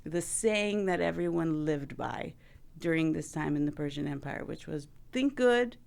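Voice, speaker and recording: Gravelly low-voiced woman therapist host. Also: lively intonation, measured pace, darkish tone. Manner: chatty, heavy use of "like", laughs often. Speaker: host, marriage and family therapist. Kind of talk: casual podcast conversation.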